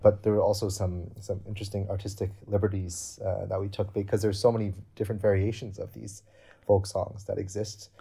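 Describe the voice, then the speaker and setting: A deep-voiced young man talks through his project as an interview guest. His delivery is earnest and explanatory, worked out aloud. The tone stays mellow and dark, with little rise and fall. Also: little pitch movement, a little fast, vocal fry, breathy edge. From Canada. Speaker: guest cellist. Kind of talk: interview answers.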